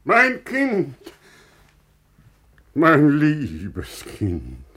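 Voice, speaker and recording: A man's voice: on the high side, huge pitch swings, drawn-out words, fairly bright tone, breathy edge, heavily acted. An actor playing a generous, impassioned merchant father in a classical German radio drama, theatrical in his delivery. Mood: joyful. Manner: theatrical, swinging from murmurs to outcries.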